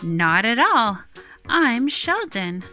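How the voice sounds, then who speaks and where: A woman's voice: on the high side, very animated, extremely slow, pushed and bright, soft background music, voice pushed up, heavily acted. Woman playing a shy, raised-voice little turtle. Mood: warm, relieved. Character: timid male turtle, friendly and relieved.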